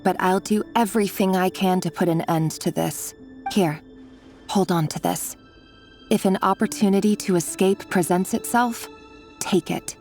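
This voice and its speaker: An actress playing an earnest, secretive and protective young woman in a video game, measured and resolute over background music. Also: mid-range voice, a little mellow, lightly acted. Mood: caring, firm. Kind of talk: scripted video game voice acting. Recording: game dialogue with music underneath.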